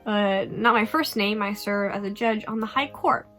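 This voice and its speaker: A woman's voice: medium pitch, normal speed, a bit bright, faint music behind, close to an everyday voice. A woman voicing a polite, kindly female judge who is fond of gardening.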